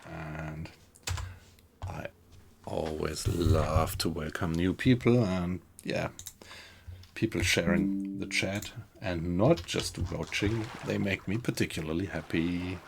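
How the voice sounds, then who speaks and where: A man's voice: low-pitched, fairly even intonation, slow pace, vocal fry, slight background noise. A man with a German accent streaming a survival game, chatting easily with viewers and thinking aloud as he plays. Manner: easygoing, thinks aloud, reacts to the game while talking to chat. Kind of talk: live gameplay commentary, chatting with viewers.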